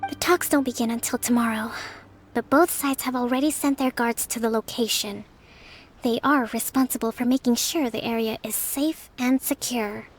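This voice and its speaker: An actress playing a gentle, composed young female leader in a video game, with measured, formal, articulate speech. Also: fairly high pitch, close to an everyday voice. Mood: calm, self-blaming. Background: faint game background music.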